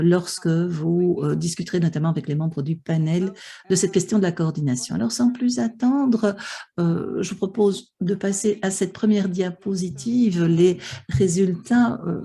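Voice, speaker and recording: A woman's voice: fairly low pitch, creaky, dark tone, husky. A woman interpreting a conference panel live, with many hesitations and restarts. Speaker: simultaneous interpreter for an online panel.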